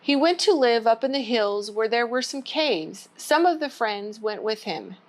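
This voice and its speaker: A woman's voice: fairly high pitch, expressive, measured pace. A woman hosting a children's storytime, reading a storybook aloud in simple language aimed at young children. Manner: simple, child-directed address. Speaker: children's storytime host at a church.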